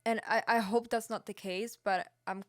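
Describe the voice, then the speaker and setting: A woman's voice: medium pitch, steady tone, moderate pace, no echo, studio quality. A woman in her twenties from Peru with a Peruvian Spanish accent, chatting as a guest with lots of fillers and emphasis. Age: 20s. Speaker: guest musician. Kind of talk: casual conversation.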